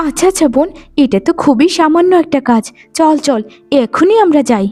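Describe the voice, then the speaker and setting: Casting: good-hearted twin sister, high voice, earnest cartoon delivery, music behind. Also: female voice, expressive, brisk, darkish tone. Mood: helpful. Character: kind, trusting young wife, devoted twin sister. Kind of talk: melodramatic cartoon voice acting. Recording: music bed under the voice.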